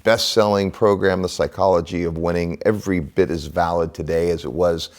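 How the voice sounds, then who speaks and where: A man's voice: sits low, steady tone, brisk. A man hosting a radio talk show, interviewing a guest in a friendly, conversational way.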